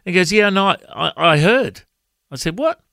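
Australian male pastor, big voice, storytelling radio devotion, slight fry. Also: sits high, animated, normal speed, bright, forceful tone, no echo, studio quality. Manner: storytelling, conversational.

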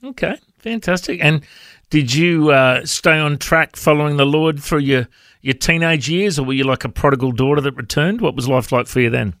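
A man's voice: a little high, normal speed, a bit bright, dry recording, clean studio sound. A man hosting a radio interview who asks friendly questions and uses a lot of fillers. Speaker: radio host.

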